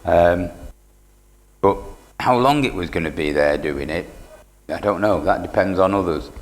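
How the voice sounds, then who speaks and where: An older man, talking plainly and earnestly in a live interview, with dry humour. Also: deep voice. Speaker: community radio station manager, interview guest. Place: radio studio stage in an indoor market. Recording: long reverb of a live hall.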